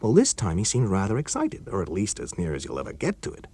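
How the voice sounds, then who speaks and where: A man's voice: sits low, wildly swooping pitch, fast talker, mellow, husky, full character voice. A man reading a story, voicing an urgent, nervous young male technician in a raised, jumpy voice.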